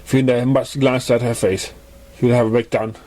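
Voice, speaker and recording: A man reading a fan fiction story aloud in a halting, stumbling way. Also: mid-range voice, level tone, dark tone. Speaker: story reader.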